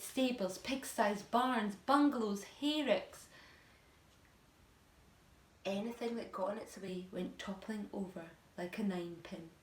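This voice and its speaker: A woman reading a children's story aloud like a teacher to pupils, in a dramatic, emphatic, expressive storytelling style. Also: fairly high pitch, reverberant.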